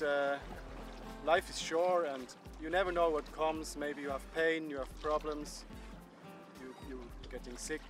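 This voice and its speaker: Man, thirties, slightly high voice, hesitant casual interview, outdoors on a boat, music behind. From Switzerland. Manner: hesitant, searching for words. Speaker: sailor, interviewee. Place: outdoors on a sailboat deck at sea.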